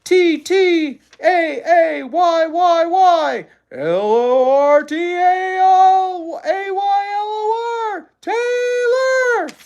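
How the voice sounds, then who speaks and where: A storybook reader voicing rowdy high school fans, shouting drawn-out cheers in a chanting manner. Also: dull audio, full character voice. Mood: gleeful chanting.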